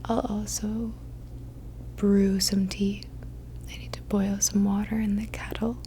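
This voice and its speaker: A woman whispering softly and breathily in a gentle, soothing ASMR roleplay as a caring friend. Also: medium pitch, little pitch movement, unhurried, a little hiss or hum.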